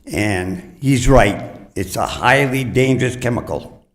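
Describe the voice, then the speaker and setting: A man's voice: mid-range voice, slow. Older man, plainspoken and earnest. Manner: plainspoken and earnest, a bit rambling. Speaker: resident giving public comment. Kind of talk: unscripted public comment. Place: city council chambers.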